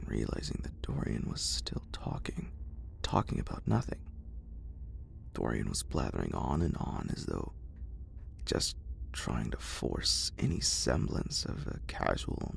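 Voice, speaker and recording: Whispering, gravelly man reading horror. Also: extremely low pitch. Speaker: horror story narrator.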